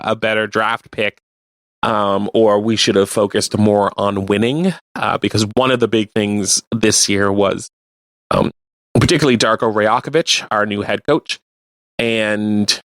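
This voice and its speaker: A man co-hosting a radio show, chatting casually about sports and explaining things in a halting way. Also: fairly low pitch, no room sound. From Canada. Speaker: radio co-host.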